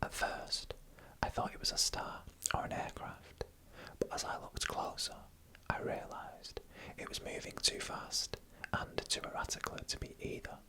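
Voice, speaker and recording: An ASMR storyteller speaks entirely in a whisper. The sound is bright and sharp-edged. The delivery is hushed and deliberate, drawing out suspense as a scripted narration.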